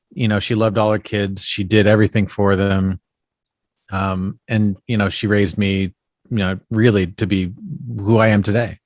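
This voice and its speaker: A man with a lowish voice tells stories as a podcast guest. He rambles along, filling pauses with "you know" and "um". The sound is dry and close, with no room echo. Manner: rambling storyteller, leans heavily on "you know" and "um". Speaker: actor and puppeteer guest. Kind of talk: casual podcast interview.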